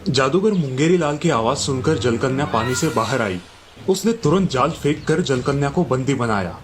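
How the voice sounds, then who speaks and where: A man's voice: mid-range voice, animated, normal speed. A man narrating a scripted moral story as a storyteller.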